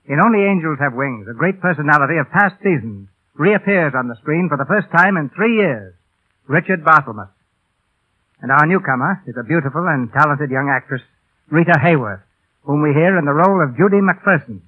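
An American man hosts and narrates in a formal, declamatory 1930s radio manner. His voice is slightly high and a little rough. The recording is muffled and vintage. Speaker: announcer, host and narrator.

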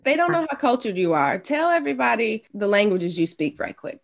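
A woman's voice: fairly high pitch, big pitch swings, brisk, vocal fry. A woman hosting a podcast interview, affirming and effusive, laughing often with her guest. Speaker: podcast host. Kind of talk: casual interview chat. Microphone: podcast mic.

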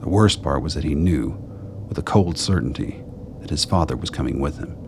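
An exceptionally deep-voiced man narrates a radio play. His voice crackles with gravelly fry, and noticeable noise sits behind it. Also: lively intonation, brisk. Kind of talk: scripted radio drama narration. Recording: clear background noise.

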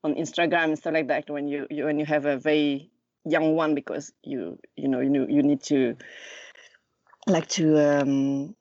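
A woman in her thirties speaks with a Swiss French accent in a low voice. She is a podcast guest who stops often to search for words, with many fillers. The remote recording sounds dull and very dry. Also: flat delivery, moderate pace, darkish tone, no room sound. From Lausanne, Switzerland. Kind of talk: casual conversation.

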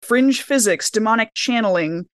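A woman chatting casually as a podcast panelist, telling stories in an animated way. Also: fairly high pitch, normal speed, no room sound, studio quality. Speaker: podcast panelist and tabletop player. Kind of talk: casual chat.

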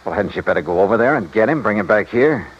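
A man's voice: fairly low pitch, animated, fast talker, slightly rough. A man playing a skeptical private investigator in an old radio detective drama, with a wry, clipped way of questioning and narrating. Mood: skeptical, probing. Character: hard-boiled, skeptical male private investigator, dryly probing. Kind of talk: 1950s-60s radio detective drama, first-person narration. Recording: dull old radio recording, music behind.

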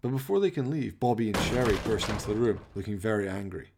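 A man with a gravelly voice narrating a dramatic scripted radio play. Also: lowish voice, lively intonation, quick pace, background noise.

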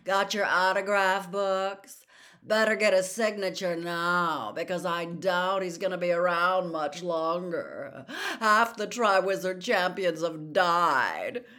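A woman voicing a sneering, arrogant teenage schoolboy bully in a storybook reading, with a loud, taunting drawl. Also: on the low side, takes it slowly.

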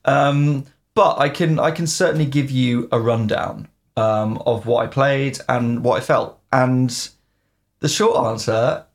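A man with a rough, gravelly tone co-hosts a casual podcast. He talks in a hesitant way, often stopping on "um" to gather his thoughts. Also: medium pitch. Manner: hesitant, filling pauses with "um". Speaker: podcast co-host. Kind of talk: casual chat about video games.

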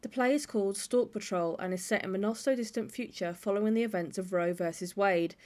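A woman's voice: mid-range voice, brisk, darkish tone. A woman giving a scripted podcast introduction as host.

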